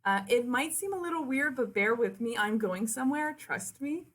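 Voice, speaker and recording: Casting: woman, twenties, French Canadian accent, native French speaker, fairly high voice, friendly presenter addressing an online audience directly. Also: animated, moderate pace. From Quebec City, Canada. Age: 20s. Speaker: university liaison officer, presenter. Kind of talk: virtual open house presentation.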